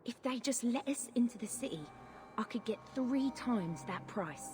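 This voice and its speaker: A woman's voice: fairly high pitch, animated, moderate pace, over music, lightly acted. An actress playing a desperate female refugee in a video game, pleading as she talks. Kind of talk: video game dialogue acting.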